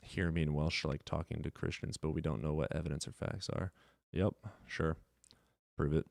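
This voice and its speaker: Gravelly, profane male debate host. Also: a bass voice, flat delivery, normal speed, darkish tone, no room sound, clean studio sound. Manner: aggressive, profane, sarcastic. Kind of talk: combative live call-in debate.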